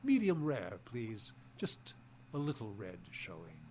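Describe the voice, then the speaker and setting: An actor playing a secretive, eccentric old scientist in an audio drama, giving clipped, imperious orders and muttering to himself. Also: male voice, fairly low pitch, huge pitch swings, a little slow, a little mellow, a little hiss or hum. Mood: brusque. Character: eccentric old male scientist, secretive, stern, meat-loving. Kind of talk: live audio drama acting.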